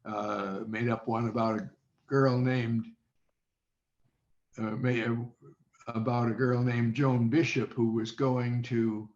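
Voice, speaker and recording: An elderly man reminiscing as an interview guest, halting and circling back to rephrase, on a video call. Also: medium pitch, level tone, a little mellow. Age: over 70. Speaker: interview guest, former child radio quiz contestant. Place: online video meeting. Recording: dull, echoey video call audio.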